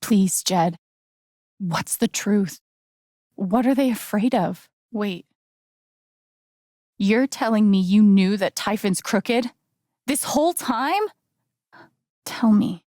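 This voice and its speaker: An earnest, determined young woman in a dramatic video game. She speaks slowly and hesitantly, with plenty of creak in a heartfelt, emotionally exposed delivery. The sound is close and dry. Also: medium pitch, a little mellow, no echo. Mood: wary, alarmed.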